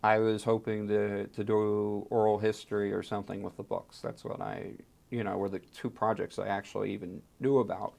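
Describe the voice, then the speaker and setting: A man being interviewed, telling a personal story with dramatic emphasis and pauses. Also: fairly low pitch, little pitch movement, creaky, darkish tone. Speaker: interviewee, archive fellow and translator.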